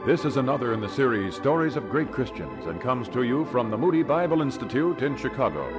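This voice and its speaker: A man announces a radio series in a formal scripted style. His voice is medium-pitched, with prominent organ music playing behind him. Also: lively intonation, a little fast. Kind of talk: scripted, formal broadcast introduction.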